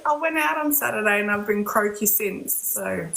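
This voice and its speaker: A woman with an English accent chatting casually on a live stream, her voice hoarse and croaky, often saying "like" and "you know". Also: mid-range voice, lively intonation, normal speed, a bit bright. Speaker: medium.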